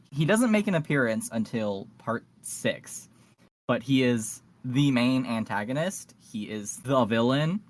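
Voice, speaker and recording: A man speaks as a podcast co-host, moving between casual discussion and reading out summaries. His pitch sits in the middle range. The sound is dry, with hardly any room. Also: no room sound.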